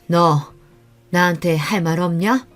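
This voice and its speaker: A woman's voice: fairly low pitch, takes it slowly, soft background music. A woman playing a stern older mother-in-law, solemn and scolding, in a radio-style story. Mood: grave.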